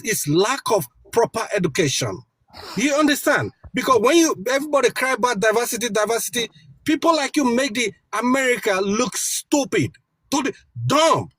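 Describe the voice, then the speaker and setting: High-pitched husky man lecturing hotly. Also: fairly bright tone. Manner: lecturing, combative, talks over others. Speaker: debate panelist. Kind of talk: heated online debate. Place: outdoors.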